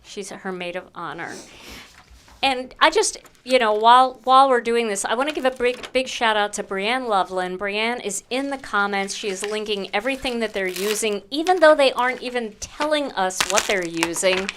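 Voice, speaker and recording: A woman's voice: a little high, moderate pace, fairly bright tone, a little hiss or hum. A woman hosting a casual craft livestream, chatty and bubbly, laughing often with her guests. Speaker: livestream host.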